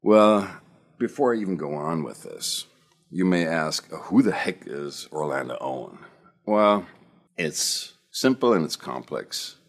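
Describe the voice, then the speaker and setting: Man, 50s, slight German accent, extremely low voice, earnest self-help talker. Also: animated, measured pace. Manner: reflective, earnest storytelling. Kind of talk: personal monologue to camera.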